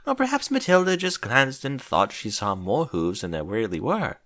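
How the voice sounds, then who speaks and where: A man's voice: mid-range voice, very animated, moderate pace, a bit bright. A man voicing a refined, dramatic female unicorn with affected, genteel manners in a storybook reading. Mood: concerned, coaxing. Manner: affected, genteel, uses endearments.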